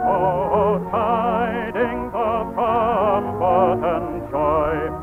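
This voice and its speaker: A man's voice: high-pitched, extremely slow, over loud music. A man singing the part of a cheerful young monk, with a full operatic voice, in a 1940s radio drama. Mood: joyful. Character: merry young male monk singing carols. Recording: tinny 1940s radio broadcast with orchestra.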